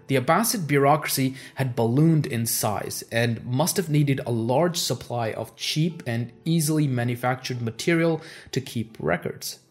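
A man whose first language is Urdu, giving a measured, scripted history narration and stressing the transition words. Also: mid-range voice.

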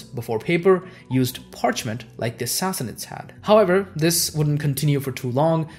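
A man whose first language is Urdu, giving a measured, scripted history narration and stressing the transition words. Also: fairly high pitch, darkish tone.

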